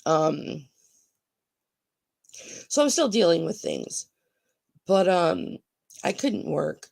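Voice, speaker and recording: Gravelly groggy-voiced woman auction host. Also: lowish voice, very animated, extremely slow, a little mellow. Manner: chatty, calls viewers honey. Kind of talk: live-stream sales chat.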